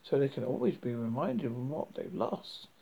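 A man with a husky, creaky voice reading a first-person story dramatically, haltingly and stumbling over words as a horror host. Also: medium pitch, lively intonation, muffled recording, heavy background noise.

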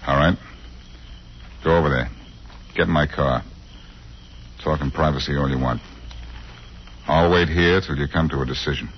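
An actor playing a wry, cool-headed amateur detective in a 1940s radio drama, on a muffled, noisy old recording. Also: male voice, very deep voice, normal speed, pauses often. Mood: calm, commanding. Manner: wry, sardonic.

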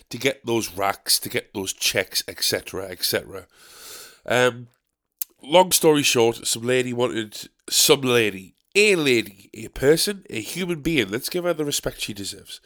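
A man hosting his own podcast, getting over a cold, sounding nasal and gravelly, rambling and swearing as he mocks himself. He records at his desk in a dark bedroom. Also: medium pitch, animated, moderate pace. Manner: sweary, rambling, self-mocking. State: recovering from a cold. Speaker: podcast host. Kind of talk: casual solo podcast chat. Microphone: desk microphone, badly set up.

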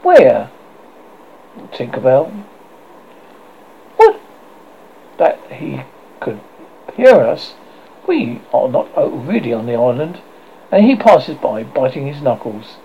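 A man's voice: takes it slowly, creaky, long pauses, breathy edge, dull audio. A man reading a classic children's novel aloud as a narrator, telling it expressively with occasional slips. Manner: expressive storytelling with lively swings in pitch and occasional slips. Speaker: audiobook narrator.

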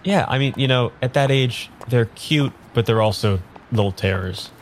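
A man's voice: medium pitch, slight background noise, lightly acted. A man playing a bewildered, earnest amnesiac in an improvised audio drama, speaking in an unsure, conversational way. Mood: flustered, unsure. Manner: halting, unsure, rambling. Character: bewildered, earnest man without his memory. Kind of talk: improvised audio drama dialogue and first-person narration.

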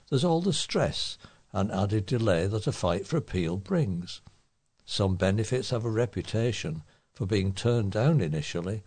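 A man with a husky voice, reading local newspaper articles aloud as a volunteer reader. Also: lowish voice, mellow.

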